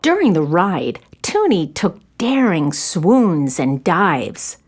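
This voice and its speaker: A woman narrator reading a scripted children's story in an expressive storytelling manner. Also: fairly low pitch, slow pace.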